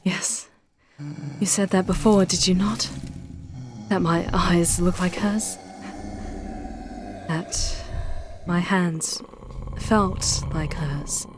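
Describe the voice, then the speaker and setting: Over noticeable background noise, a seductive female demon played by a woman speaks very slowly in a lowish voice. She is intense and protective, with theatrical, hushed phrasing suited to a dramatic ASMR roleplay. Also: fairly even intonation. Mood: tender, coaxing. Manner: theatrical, hushed, drawn-out phrasing, often slipping into whispers.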